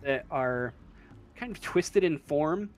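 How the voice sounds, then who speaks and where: A man with a slightly high voice narrates a tabletop role-playing game as game master. He talks slowly and haltingly, often pausing to find the right word as he describes things. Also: expressive. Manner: halting, searching for words as they describe.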